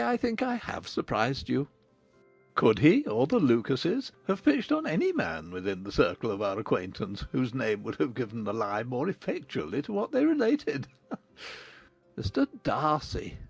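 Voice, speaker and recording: Man acting gravelly, wildly expressive witty older father. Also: medium pitch, no echo, pitched below the performer's own voice, heavily acted. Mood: gleefully mocking. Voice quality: gravelly, husky. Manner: sardonic, theatrical emphasis. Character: witty, teasing older father, mocking and amused. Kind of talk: dramatised audiobook reading.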